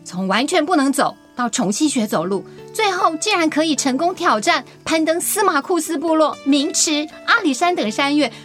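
Radio drama cheerleader friend: gushing, admiring woman, extremely high voice over clear music. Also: lively intonation, moderate pace, few pauses, fairly bright tone. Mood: excited, admiring. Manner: gushing, exclamatory. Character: excitable, admiring female hiking friend.

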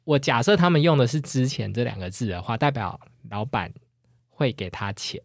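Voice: medium pitch, moderate pace, no room sound, studio quality. 一位帶台灣腔國語的播客主持人，用輕鬆直率、帶點打趣的口吻閒聊並給意見。. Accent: Taiwanese Mandarin. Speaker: podcast co-host. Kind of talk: casual, opinionated chat.

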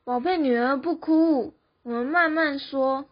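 A woman's voice: high-pitched, unhurried, slight background noise. A teenage girl acting a tender, anxious mother in an amateur radio drama. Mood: fussing, tender. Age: teens. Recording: dull lo-fi student recording.

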